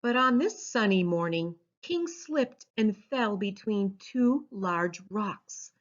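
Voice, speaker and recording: Slow-paced woman narrating a children's story. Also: medium pitch, lively intonation. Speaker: author reading a storybook. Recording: dull lo-fi sound.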